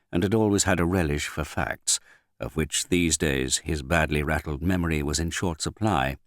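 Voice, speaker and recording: A man narrating a literary novel as an audiobook reader, with a measured, understated delivery and finely modulated voice. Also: a bass voice, dry recording, clean studio sound.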